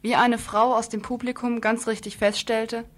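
A woman radio presenter with a fairly high voice reads from a prepared report. Her delivery is earnest, with the odd stumble and restart as she goes. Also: level tone, normal speed. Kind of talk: scripted radio report, read out.

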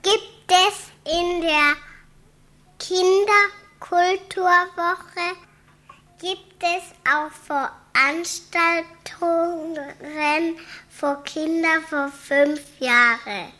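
Little girl listener asking questions, super high voice, really slow and careful. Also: fairly even intonation, bright, forceful tone.

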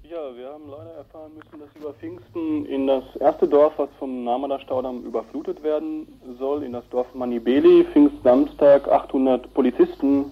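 A man's voice: medium pitch, fairly even intonation, moderate pace, hardly any pauses. Man on a muffled phone line, explaining. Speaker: human rights organisation director being interviewed. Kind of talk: telephone interview, explanatory. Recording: dull, band-limited telephone line.

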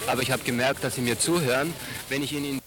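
A man interviewed outdoors at a protest, answering in a calm, measured way. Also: mid-range voice, slight background noise, low-quality recording. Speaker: activist interviewee.

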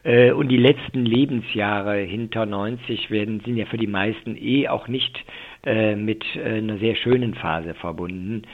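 An older man explaining things as an expert in a radio interview over the telephone, with halting delivery and many filled pauses. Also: lowish voice. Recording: muffled, thin telephone line.

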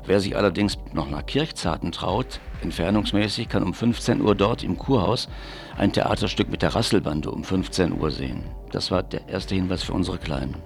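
Very deep-voiced man presenting radio listings. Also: moderate pace, vocal fry, faint music behind. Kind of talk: reading local event listings on morning radio. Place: radio studio.

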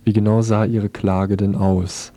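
A male radio presenter with a fairly low voice and a dark, relaxed tone. He is recorded close and dry, delivering news magazine links and interview questions. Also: a little slow, dry recording.

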